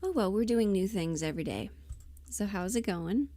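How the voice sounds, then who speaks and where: A woman chatting casually on a livestream, thinking aloud in a halting, self-deprecating way, often muttering to herself. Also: mid-range voice, lively intonation, mellow. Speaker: livestream host, voice performer.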